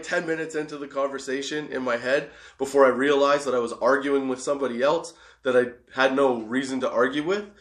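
A man's voice: mid-range voice, fairly bright tone. Profane, rambling stoner man in echoey room. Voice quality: profane, laughs often. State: high on cannabis. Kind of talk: rambling casual monologue.

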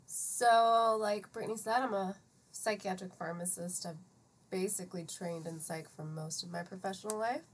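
Woman, thirties, American-raised, podcast guest, mid-pitched voice, reflective and measured, conversational. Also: poor audio. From United States (Atlanta, Georgia). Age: 30s. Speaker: podcast guest, psychiatric pharmacist. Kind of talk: conversational interview.

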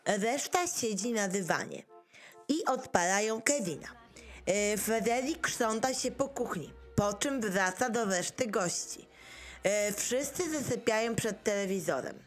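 A woman's voice: medium pitch, lively intonation, unhurried, faint music behind. A woman reading scripted narration for a radio play as its narrator.

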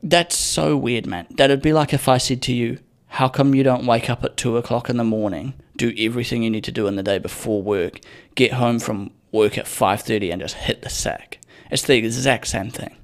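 A man's voice: mid-range voice, fairly even intonation, normal speed, hardly any pauses. A young man hosting his own podcast, talking casually in a profane, rambling, sardonic way into a microphone. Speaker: podcast host. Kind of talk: casual solo podcast rant.

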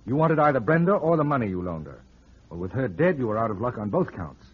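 Wry radio detective, muffled, swooping delivery. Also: male voice, mid-range voice, big pitch swings, fast talker, slightly rough. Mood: explaining, wry. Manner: sardonic, explaining, teasing. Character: wisecracking, shrewd male private detective, smooth with women. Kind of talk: old-time radio drama acting. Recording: muffled vintage radio drama recording.

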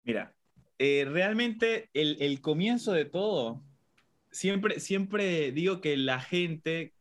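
A man's voice: a little high, lively intonation, takes it slowly. A young man from Venezuela with a mixed Venezuelan, Colombian and Argentine Spanish accent, telling his life story reflectively as an interview guest. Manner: tells anecdotes, acts out childhood voices.

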